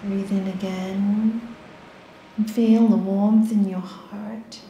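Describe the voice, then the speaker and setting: Slow, soft-spoken woman guiding meditation. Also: medium pitch, roomy sound, dull audio, a little hiss or hum. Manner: slow, soft, calm instructions with long pauses. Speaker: meditation guide. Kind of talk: guided meditation.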